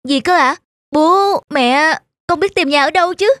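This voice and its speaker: A woman's voice: high voice, a little slow, fairly bright tone. A woman voicing a spoiled grown-up son in his twenties in a cartoon drama, whiny and pleading. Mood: shocked.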